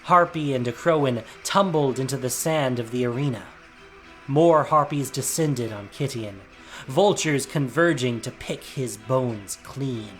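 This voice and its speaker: A man's voice: mid-range voice, unhurried, poor audio. A man reading a scripted, dramatic story as its narrator. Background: music.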